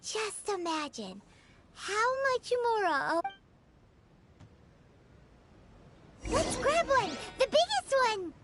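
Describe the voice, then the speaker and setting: Video game fairy companion, female, very high squeaky child voice, excitable, clearly noisy stream capture. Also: takes it slowly, heavily acted. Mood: excited, greedy. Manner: excitable, refers to self in third person.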